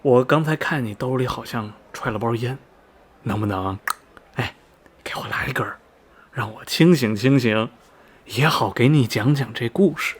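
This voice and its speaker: A man's voice: medium pitch, normal speed, breathy edge. A man playing a chatty middle-aged railway station veteran in a radio drama, folksy and rambling, with many sighs and interjections. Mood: wheedling, weary. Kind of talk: Mandarin radio drama dialogue, storytelling. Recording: music behind the voice. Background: background music.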